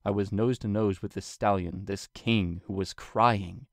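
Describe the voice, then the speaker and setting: A man narrating a story in an earnest, deliberate, dramatic reading style. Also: on the low side, fairly even intonation, vocal fry, no room sound, studio quality. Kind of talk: dramatic scripted narration of fan fiction.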